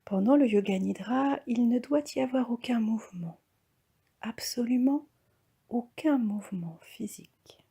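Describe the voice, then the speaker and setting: Woman, yoga relaxation instructor, mellow tone, creaky edges, soft and calm, half-whispered. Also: fairly high pitch, unhurried. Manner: calm, soft, breathy, often near-whispered delivery. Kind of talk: guided relaxation meditation.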